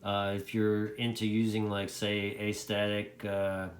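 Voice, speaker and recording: Man, low voice, casual product reviewer, halting hands-on delivery, thinking aloud, roomy echo. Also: monotone. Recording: echoey, roomy sound.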